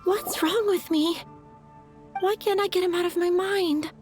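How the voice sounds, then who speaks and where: A woman's voice: very high-pitched, steady tone, unhurried, faint music behind. A woman voicing a dreamy, lovestruck young florist in a video game who talks in a wistful, flustered way.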